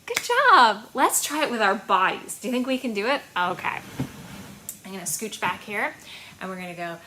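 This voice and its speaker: A woman in her thirties hosting a children's storytime, speaking in an animated, encouraging way to young listeners in a small home room. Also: mid-range voice, moderate pace, slight background noise. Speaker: children's library storytime host. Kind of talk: children's storytime with action rhymes and reading.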